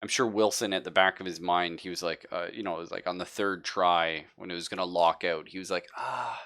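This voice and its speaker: Deep, bright-voiced man running a tabletop game. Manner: halting, informal, thinks aloud. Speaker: game master. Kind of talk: casual improvised narration of a tabletop game.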